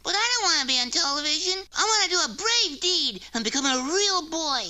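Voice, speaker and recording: Piercing, high-voiced little puppet boy. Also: lively intonation, brisk, pushed and bright, full character voice. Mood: pleading. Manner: childlike, plaintive, eager. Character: earnest, naive little wooden puppet boy. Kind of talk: cartoon voice acting.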